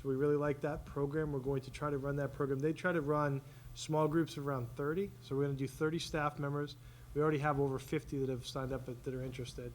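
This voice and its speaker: A man's voice: fairly high pitch, flat delivery, quick pace. A man speaking as a school administrator, giving an enthusiastic informal report at a public meeting. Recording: noisy public meeting room recording.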